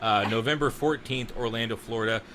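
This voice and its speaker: A man's voice: medium pitch, fairly quick, sharp tone, slight background noise. A man hosting a podcast in a casual, enthusiastic chat, swearing now and then. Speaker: podcast host.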